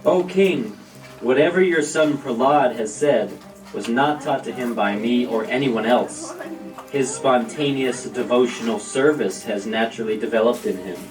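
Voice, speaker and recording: A man's voice: mid-range voice, a little slow, lightly acted. A man playing a formal royal teacher in a devotional puppet show. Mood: anxious deference. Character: deferential royal teacher questioning his young pupil. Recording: echoey, distant, music underneath. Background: music.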